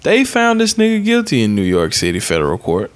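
A man in his late twenties hosting a podcast, talking in a relaxed, profane, joking way. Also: medium pitch, a little fast, smooth. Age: late 20s. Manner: laid-back, profane, joking. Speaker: podcast host. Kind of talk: casual chat.

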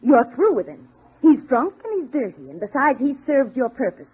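A spirited woman from a newspaper office, feisty and loyal, with a sharp tongue. Her delivery swings expressively in old radio drama style, heard through a muffled vintage recording. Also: sits high, very animated, moderate pace, slightly rough, full character voice. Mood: indignant.

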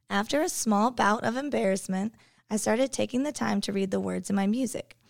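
Creaky-voiced woman giving a devotion. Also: medium pitch, darkish tone, no echo, studio quality. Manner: earnest, reflective, storytelling. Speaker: devotion speaker, English teacher. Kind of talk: personal devotional reflection.

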